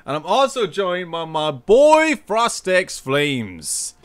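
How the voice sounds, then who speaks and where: A man's voice: high-pitched, very animated, slow, clean tone. A man hosting a casual live-streamed podcast, upbeat, greeting viewers and reading out chat names. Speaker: podcast host.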